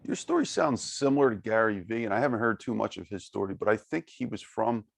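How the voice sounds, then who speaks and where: A man's voice: on the low side, lively intonation, fast, vocal fry, no room sound, studio quality. A man hosting a podcast interview, giving short reactions and asking follow-up questions. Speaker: podcast host. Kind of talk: casual interview.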